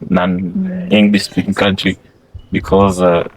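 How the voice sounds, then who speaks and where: Relaxed student, lowish voice. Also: male voice, slow pace, creaky, slightly rough, low-quality recording. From Congo. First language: French. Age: young adult. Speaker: international student.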